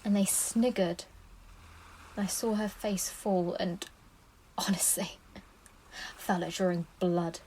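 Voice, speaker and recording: A woman's voice: lowish voice, steady tone, mellow, slight background noise, low-quality recording. An actress playing an anxious, protective mother, confiding and reflective in a naturalistic audio play. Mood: protective, wounded. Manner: confiding, unhurried.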